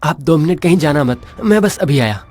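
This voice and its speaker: A practical, friendly business partner. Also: male voice, a little high, expressive, normal speed, darkish tone, no echo. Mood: casual. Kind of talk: Hindi audio romance acting.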